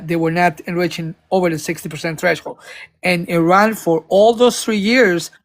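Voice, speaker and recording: A man making a political argument on a live panel, talking in a rambling way with many stumbles and restarts, now and then emphatic. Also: high-pitched. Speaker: panel speaker. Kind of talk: casual political discussion.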